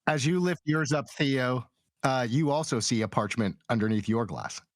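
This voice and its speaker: A man's voice: medium pitch, moderate pace, no echo, studio quality. A man acting as game master, narrating and refereeing a tabletop role-playing game.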